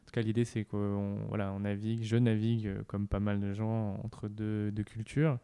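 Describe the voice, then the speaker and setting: A man's voice: on the low side, little pitch movement, normal speed, warm tone, clean tone. A man from France in his thirties, a podcast guest chatting casually and hesitantly, with many fillers and restarts. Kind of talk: casual interview chat.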